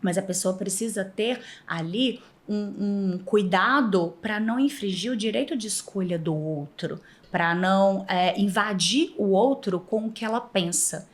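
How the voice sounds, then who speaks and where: Brief: Mineiro woman, podcast guest, lively storyteller who laughs a lot and acts out old conversations, middle pitch. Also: a little slow, hardly any pauses. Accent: Mineiro Brazilian Portuguese. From Minas Gerais, Brazil. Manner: animated, laughs often, acts out past dialogue. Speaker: podcast guest, former flight attendant. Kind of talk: casual interview storytelling.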